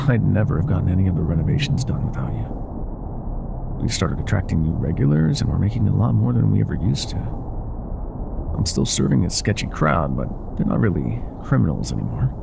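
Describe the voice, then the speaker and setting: A man playing an older ex-mafia boyfriend in an intimate ASMR roleplay, speaking softly and affectionately with some hesitation. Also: fairly low pitch, vocal fry, background noise, exaggerated voice. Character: older ex-mafia boyfriend, tender, teasing, vulnerable bar owner.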